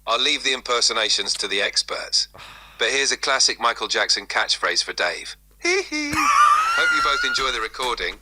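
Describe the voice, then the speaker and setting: A man's voice: medium pitch, wildly swooping pitch, moderate pace, pushed and bright, a little hiss or hum. A synthetic male voice assistant, answering politely and upbeat. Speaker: voice assistant played in the room. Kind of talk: machine speech.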